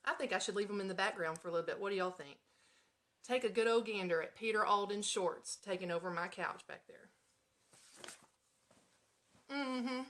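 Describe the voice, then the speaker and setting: Bright-voiced Southern US woman hosting chattily. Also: fairly high pitch. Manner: playful, folksy, expressive. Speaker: radio show host. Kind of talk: chatty live-stream hosting to listeners. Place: at home in an apartment.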